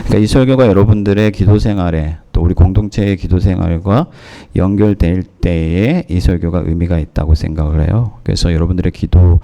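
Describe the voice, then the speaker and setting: A man preaching a sermon as pastor, hoarse from a cold, speaking in measured phrases with pauses and fillers. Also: low-pitched, warm tone, highs cut off. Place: church in Moscow.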